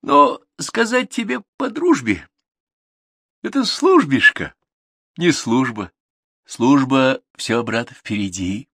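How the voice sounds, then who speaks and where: A man's voice: medium pitch, big pitch swings, slow pace, pauses often, breathy edge, dry recording, clean studio sound, pitched above the performer's own voice, full character voice. A man voicing a small, kind and wise humpbacked horse comforting its friend. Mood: gentle, wise. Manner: gentle, coaxing.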